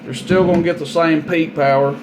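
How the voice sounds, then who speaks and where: Higher-voiced man demoing gear over background noise. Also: fairly even intonation, a little fast. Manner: plain-spoken, folksy, informal. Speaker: product demonstrator and seller. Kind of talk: casual hands-on radio demo narration.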